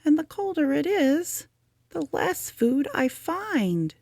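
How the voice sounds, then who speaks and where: A woman voicing an earnest, forgetful young male rabbit in an animated storybook manner. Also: slow, vocal fry, dry recording, clean studio sound, heavily acted. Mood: grumbling. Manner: high, excitable, animated storybook voice.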